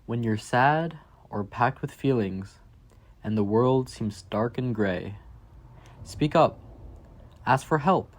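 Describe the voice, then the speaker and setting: Slow-paced man reading a picture book. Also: medium pitch, expressive. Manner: emphatic, raises voice on key phrases. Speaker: reader for a children's reading program. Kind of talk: expressive picture book read-aloud.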